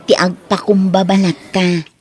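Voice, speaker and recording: A woman's voice: mid-range voice, little pitch movement, unhurried, darkish tone, lightly acted. A woman playing a humble, forgiving young woman and dutiful daughter in an Ilocano audio drama. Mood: forgiving, calm.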